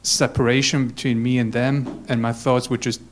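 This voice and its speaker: Halting man from Germany sharing a story. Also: medium pitch, level tone, a little hiss or hum. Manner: halting, many restarts. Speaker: church group member. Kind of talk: personal story in casual talk.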